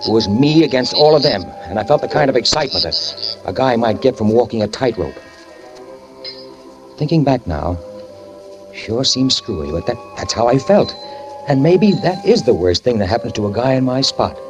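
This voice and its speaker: A man's voice: medium pitch, very animated, moderate pace. An actor playing a guilt-ridden male hit-and-run driver who narrates tensely in the first person, in a 1940s radio drama. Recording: old radio broadcast with music.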